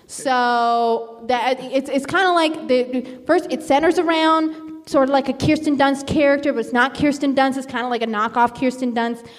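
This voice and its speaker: Female, late teens, high-pitched, chatty and rambling improv storyteller, long hall reverb. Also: fairly even intonation, moderate pace. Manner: rambling, conversational, peppered with "like" and "you know". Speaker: game show contestant. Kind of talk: improvised comic movie pitch, casual chat.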